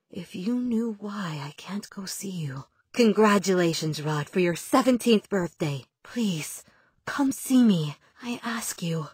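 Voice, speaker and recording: Breathy, sorrowful nun mother. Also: on the low side, no room sound, exaggerated voice. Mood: tender, sorrowful. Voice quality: breathy, soft. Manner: tender, solemn, pleading. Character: sorrowful, devout nun mother writing to her son. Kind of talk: letters read aloud in game cutscene.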